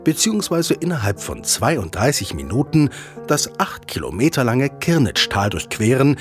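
A man's voice: mid-range voice, sing-song, moderate pace, faint music behind. A man narrating an audio play in a scripted storytelling style.

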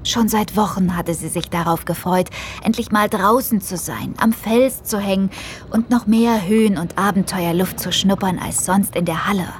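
A woman narrating a scripted audio story for children, expressively and with deliberate emphasis. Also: medium pitch, a little hiss or hum.

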